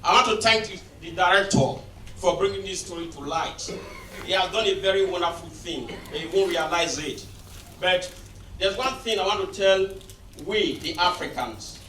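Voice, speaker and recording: A man in a film audience giving effusive, halting thanks to the panel. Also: high voice, sharp tone, a little hiss or hum. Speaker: audience member. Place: cinema auditorium. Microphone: point-and-shoot camera across the room. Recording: echoey hall, distant camera mic.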